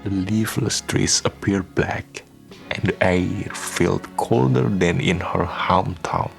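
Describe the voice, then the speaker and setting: Deep, slow, solemn male narrator. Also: vocal fry. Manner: solemn, measured. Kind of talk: scripted radio drama narration. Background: faint music.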